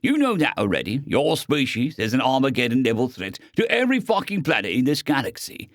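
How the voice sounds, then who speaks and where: Audio-story robot alien hitman, done by a man: threatening, blunt, incredulous, animated pitch, dry sound. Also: brisk, no echo. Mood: stern. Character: menacing, incredulous male robotic alien exterminator.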